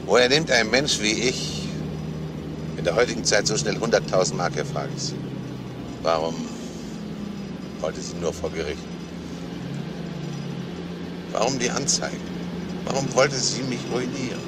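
An actor playing a despairing, self-justifying schoolteacher in a halting, brooding confession, in a crime radio play. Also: male voice, fairly low pitch, expressive, lightly acted. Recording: noisy old radio play recording.

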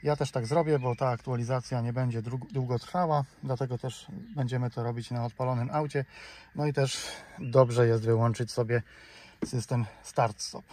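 Polish male DIY host, medium-pitched, casual and explanatory, talking through steps inside a car with clear vehicle noise. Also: fairly even intonation, normal speed, a little mellow. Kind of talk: casual step-by-step tutorial narration.

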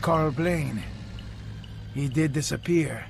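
A man's voice: on the high side, slow, vocal fry, faint music behind. An actor playing a wry, laconic male secret agent in a video game, terse and dry. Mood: wry, cool. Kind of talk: video game cutscene acting.

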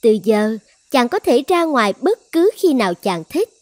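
A woman voicing a proud young dragon goddess in an animated fairy tale, with theatrical, clear enunciation. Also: on the high side, moderate pace, no room sound. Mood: caring. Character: proud young dragon goddess, devoted then vengeful wife.

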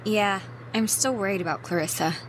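A woman's voice: mid-range voice, a little fast, close to an everyday voice. An American woman playing a timid, worried, apologetic teenage girl in a video game. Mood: doubtful. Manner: hesitant. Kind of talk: naturalistic video game dialogue. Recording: noisy background ambience.

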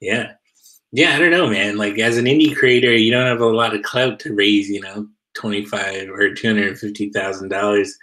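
A man's voice: fairly low pitch, steady tone, moderate pace, sharp tone, low-quality recording. A man who makes indie comics, guesting on a casual video call. He talks modestly and laughs often.

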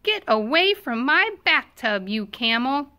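A woman's voice: high-pitched, sing-song, a little slow, bright, forceful tone, a little hiss or hum, full character voice. Woman reading as an indignant little storybook girl. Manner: firm, indignant. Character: indignant little girl shooing animals away.

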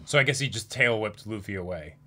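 A man's voice: fairly low pitch, expressive, fairly quick, pushed and bright. A young man co-hosting casual reaction commentary on a manga in a small indoor room. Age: young adult. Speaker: co-host.

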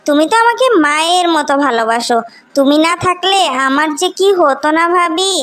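Favoured little sister, played by a woman: high female cartoon voice, Bangladeshi accent, coaxing flattery. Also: fairly quick. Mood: gushing, flattering. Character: sweet-talking, flattering favoured young sister. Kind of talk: animated moral story dialogue.

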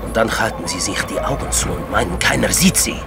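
An actor playing a gruff, commanding agent in a crime audio drama, brusque, dryly sardonic and scolding. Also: male voice, fairly low pitch, animated, a little fast, over loud music. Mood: exasperated, scolding.

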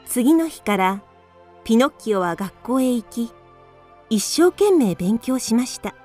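A woman narrating a children's radio drama in a calm, even storytelling manner. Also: a little high, unhurried, soft background music.

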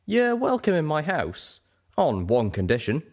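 A man voicing a huge, friendly, slightly awkward grown dragon with a gentle, earnest manner. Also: a little high, wildly swooping pitch, unhurried, voice pushed up, exaggerated voice. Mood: friendly, reassuring.

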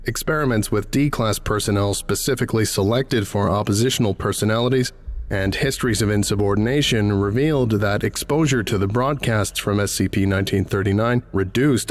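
A man with a fairly low voice narrates from a script. His delivery is formal and measured, with the clinical tone of a documentary report.

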